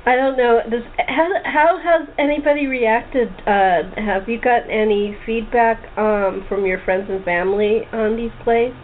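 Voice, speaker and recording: A woman's voice: fairly high pitch, fairly even intonation, a little slow, hardly any pauses. Tinny-sounding woman hosting a chat. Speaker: host. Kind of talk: casual group chat. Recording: tinny phone-like line with clear noise.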